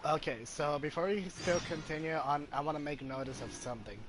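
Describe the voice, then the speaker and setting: A man's voice: fairly high pitch, brisk. Chatty male game streamer, faint noise. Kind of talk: casual live gameplay commentary.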